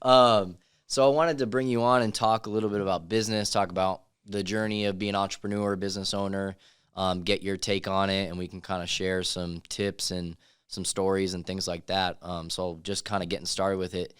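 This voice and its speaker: A man's voice: sits low, level tone, a little fast, fairly bright tone, clear, resonant tone, no room sound, studio quality. A man interviewing in a casual, conversational way as a podcast host, with informal, loosely phrased questions.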